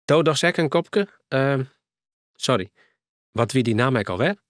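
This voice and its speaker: A man voicing a brisk, hospitable middle-aged mother in a storybook reading. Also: medium pitch, lively intonation, no room sound, studio quality, natural voice.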